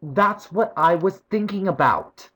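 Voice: medium pitch, expressive, measured pace, fairly bright tone, husky, voice pushed up. A performer voicing a pensive, slightly grumpy British schoolboy, the eldest brother in a storybook. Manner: thoughtful, mildly huffy.

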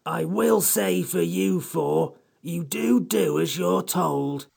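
Creaky, raspy, sulky magical creature. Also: male voice, fairly high pitch, slow pace, a little mellow, pitched above the performer's own voice, exaggerated voice. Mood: grumpy. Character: sulky, bossy old magical sand creature.

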